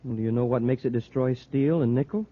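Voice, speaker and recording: An actor playing a curious, eager young space cadet in a 1950s radio drama. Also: male voice, mid-range voice, brisk, natural voice. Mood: puzzled. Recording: tinny, noisy, distant vintage radio.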